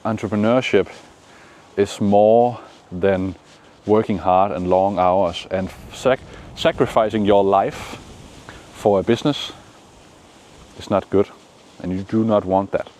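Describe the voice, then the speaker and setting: A man from Denmark with a Danish accent, giving calm, encouraging motivational talk outdoors in the shade, with frequent pauses. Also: lowish voice, slight background noise. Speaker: manifestation coach. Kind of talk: casual motivational vlog talk.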